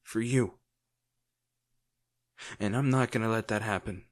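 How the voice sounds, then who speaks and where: Man acting brooding, low-voiced lovesick villain. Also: steady tone, lightly acted. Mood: protective, pained. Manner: brooding, earnest, halting dramatic pauses. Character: lovesick male villain, brooding, protective, torn over wounded hero. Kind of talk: intimate dramatic audio roleplay monologue.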